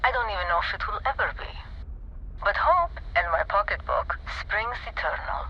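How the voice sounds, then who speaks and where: An actor playing a sly, wealthy, mysterious trader in a video game, amused and coy, with teasing, drawn-out phrasing. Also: mid-range voice, big pitch swings, highs cut off, a little hiss or hum. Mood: coy, evasive. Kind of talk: video game character dialogue.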